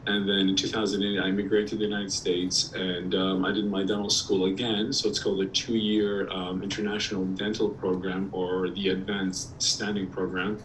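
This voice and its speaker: A man from Iraq, a periodontist giving a casual presentation over a video call, slightly hoarse and talking with many ums and restarts. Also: low-pitched, flat delivery, normal speed, few pauses, fairly bright tone. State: slightly hoarse, clearing throat. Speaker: periodontist guest speaker. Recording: distant mic with faint background noise.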